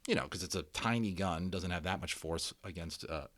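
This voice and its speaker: A man reading a children's book aloud as a storyteller, expressive and dramatic, with conversational explanations for listeners. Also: low voice, normal speed. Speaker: storybook reader.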